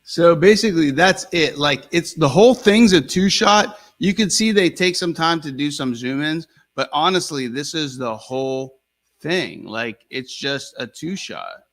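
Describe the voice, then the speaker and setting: A man's voice: fairly high pitch, animated, unhurried, a bit bright. A man hosting a casual conversation in an easygoing way, reacting aloud as he watches clips. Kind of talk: casual chat.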